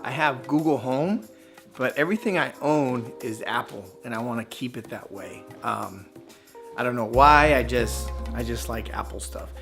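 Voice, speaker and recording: A man's voice: medium pitch, normal speed, vocal fry, a bit bright, faint music behind. A man vlogging in a casual, conversational way, giving informal advice to camera with some emphasis. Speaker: YouTube vlogger.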